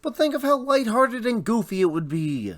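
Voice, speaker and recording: A man's voice: extremely high pitch, big pitch swings, normal speed, dry recording. A man co-hosting a radio show, delivering comic scripted banter.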